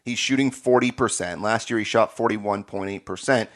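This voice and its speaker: A man hosting a casual sports podcast, energetic and quick to laugh. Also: lowish voice. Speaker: podcast host.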